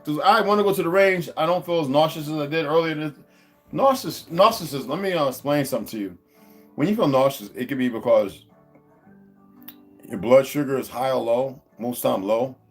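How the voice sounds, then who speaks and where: A man's voice: a little fast, soft background music. A man chatting casually to his viewers at home, wandering from topic to topic with many restarts. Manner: meandering, restarts, talks to his dogs in a high coaxing voice. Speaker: vlogger. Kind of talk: rambling casual vlog to viewers. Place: indoors at home.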